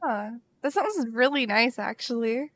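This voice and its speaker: A woman streamer chatting as a podcast guest, expressive and casual, her voice still recovering. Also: fairly high pitch, unhurried. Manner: expressive, says dude a lot. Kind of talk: casual chat.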